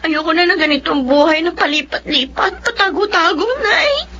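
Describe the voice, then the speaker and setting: A woman's voice: very high-pitched, animated, moderate pace, pushed and bright, highs cut off, a little hiss or hum, full character voice. A woman playing a distressed young daughter in a radio drama, pleading and fed up with her family in an emotional, plaintive way. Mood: anguished defiance. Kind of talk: Tagalog radio drama acting.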